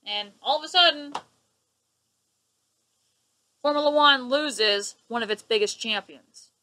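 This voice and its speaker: A woman's voice: high voice, measured pace, pushed and bright, rough recording. Fired-up young woman ranting at her webcam. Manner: indignant, sarcastic, gestures a lot. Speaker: vlogger. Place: living room, indoors. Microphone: webcam at close range.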